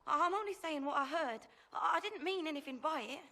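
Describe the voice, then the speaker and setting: Fantasy game servant: meek, jittery young female, high-pitched, faint noise behind. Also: lively intonation, fairly quick, bright, forceful tone, heavily acted. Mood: anxious, deferential. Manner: hesitant, apologetic. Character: timid, nervous, deferential young female servant. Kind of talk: dramatic video game acting.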